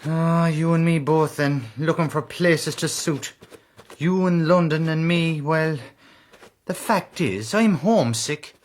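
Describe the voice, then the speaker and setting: Irish working lad, chatty n homesick, slightly high voice, radio play. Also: male voice, slow pace. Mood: rueful. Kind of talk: period radio drama acting.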